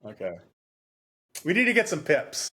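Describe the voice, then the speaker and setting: A man co-hosting a podcast in casual chat, thinking aloud in a halting way. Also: a little high, wildly swooping pitch, sharp tone, breathy edge. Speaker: podcast co-host.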